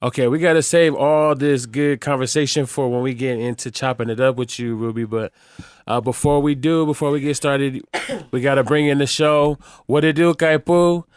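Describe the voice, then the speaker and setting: Male podcast host, mid-pitched, close and dry, loose slangy conversational delivery. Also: fairly even intonation, normal speed, clear, resonant tone, no echo. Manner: slangy, emphatic, often seeks agreement. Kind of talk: casual chat.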